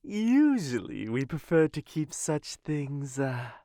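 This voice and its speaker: A man playing an obsequious, sly auction-house servant in an audio roleplay, creepy and deferential, with a halting, fawning delivery. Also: fairly high pitch, very animated, mellow, no echo, studio quality, full character voice. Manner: fawning, halting, very slow, chuckling.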